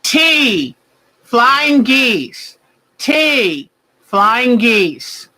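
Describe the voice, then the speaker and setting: Slow, bright-voiced woman calling bingo. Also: fairly high pitch, big pitch swings, low-quality recording. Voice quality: big voice. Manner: calls each clue twice, slow and deliberate. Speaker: host calling a quilting bingo game. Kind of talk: livestream game calling.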